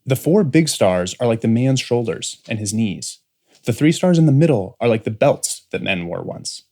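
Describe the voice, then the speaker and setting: A man's voice: medium pitch, animated, moderate pace, gravelly, darkish tone. A man acts out a grown son in a science fiction audiobook. He is restless and rebellious, pressing his case with earnest intensity, his intonation rising and falling widely. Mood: wistful. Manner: insistent, intense, pleading. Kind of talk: full-cast audiobook acting.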